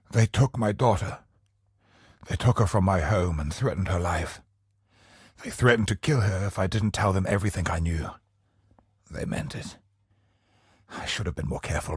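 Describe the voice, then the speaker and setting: An actor playing a tormented, godlike older scholar and father in a video game, speaking in a gravelly, breathy voice with solemn, theatrical deliberation. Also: deep voice, dry recording, studio quality, full character voice. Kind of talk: dramatic scripted game monologue.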